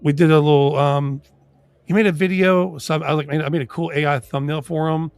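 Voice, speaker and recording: A man's voice: on the high side, steady tone, a little fast, clear, resonant tone, no room sound. A man who is losing his voice, chatting casually as a livestream co-host in a sweary, informal way, stumbling over his words.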